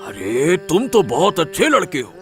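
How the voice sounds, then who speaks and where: A man voicing a kindly older stranger in a moral-story cartoon, soothing and probing with a raspy voice. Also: a little high, wildly swooping pitch, unhurried, soft background music, heavily acted. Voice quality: raspy, gravelly.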